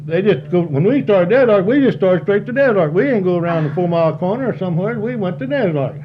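A man's voice: sits high, lively intonation, fast talker, highs cut off, noisy background. A seventy-year-old man, reminiscing in a rambling, folksy way and often repeating himself as he is interviewed. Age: 70s. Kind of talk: oral history reminiscence.

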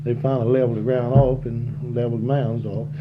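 An elderly man of seventy recalls old times in a loose, folksy ramble, circling back and repeating words. His voice comes through muffled, lo-fi tape with a clear hiss behind it. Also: mid-range voice, flat delivery, quick pace. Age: 70s. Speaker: interviewee. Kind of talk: oral history reminiscence.

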